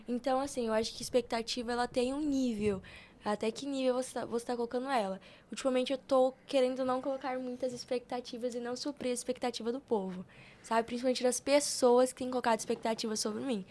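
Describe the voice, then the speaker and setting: A young woman in casual conversation, speaking earnestly and colloquially, repeating phrases for emphasis in a motivational way. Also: on the high side. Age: young adult. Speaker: podcast guest.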